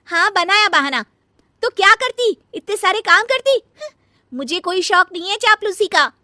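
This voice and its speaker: A woman's voice: very high-pitched, animated, moderate pace, sharp tone, dry recording, studio quality, heavily acted. A woman voicing a lazy, cunning younger daughter-in-law in a family comedy cartoon, with a whiny, sarcastic, defiant manner. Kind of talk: acted Hindi family comedy story.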